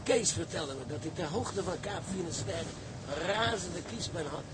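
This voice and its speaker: A seasoned sailor in a radio drama. He is high-pitched, knowing and plain-spoken, against very loud background noise. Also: animated, moderate pace. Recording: loud ship and engine ambience.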